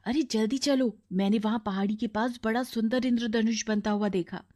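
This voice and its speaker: A woman's voice: on the high side, steady tone, normal speed, darkish tone, natural voice. A woman voicing a jealous, scheming young girl with an exclaiming, emotional delivery in a cartoon moral story. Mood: coaxing.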